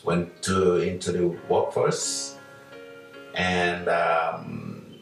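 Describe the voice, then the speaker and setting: An older man from Nigeria, a guest on an interview show, telling his life story slowly with many pauses and fillers. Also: sits low, flat delivery, gravelly, heavy reverb, recorded from a distance, faint music behind. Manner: slow, hesitant with many fillers. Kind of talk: relaxed reflective storytelling.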